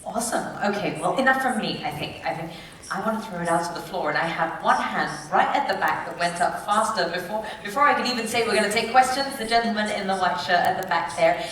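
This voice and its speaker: A woman's voice: medium pitch, fast, a bit bright. A woman informally moderating audience questions at a live talk session in a large event hall. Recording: very echoey hall, distant, faint noise.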